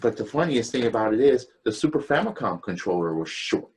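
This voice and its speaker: A man's voice: medium pitch, big pitch swings, a little fast, husky. A man in his late thirties in a casual group chat, blunt and emphatic, swearing freely. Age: 30s.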